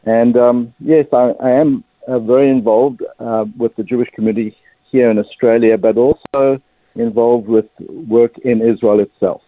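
A man's voice: medium pitch, fairly even intonation, moderate pace, no echo. A man in his fifties with a South African accent, speaking earnestly and a little emotionally as a radio interview guest. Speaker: guest, ministry director. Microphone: phone line. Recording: tinny dry phone line.

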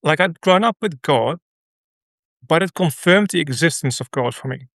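A middle-aged man from the Netherlands with a Dutch accent, a radio guest telling a personal story in an earnest, halting way with many restarts. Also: fairly high pitch, animated, a bit bright, dry recording, studio quality. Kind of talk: conversational interview.

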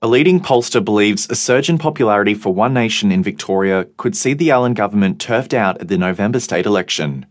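A man reading radio news and sport bulletins in a measured, even newsreading manner. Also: fairly low pitch, no room sound, clean studio sound.

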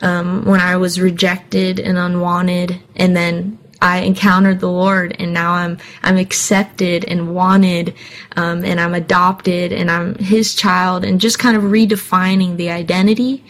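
A woman's voice: lowish voice, flat delivery, a little slow. A young woman from Hawaii, a singer telling her life story in an interview, candid and earnest, with frequent fillers. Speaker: singer guest. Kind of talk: personal interview answers.

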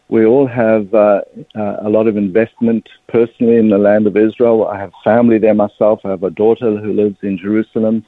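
Male interview guest, 50s, South African accent. Deliberate, fairly low delivery, narrow phone-quality sound. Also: dry recording. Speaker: interview guest, religious ministry leader. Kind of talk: measured personal account in an interview.

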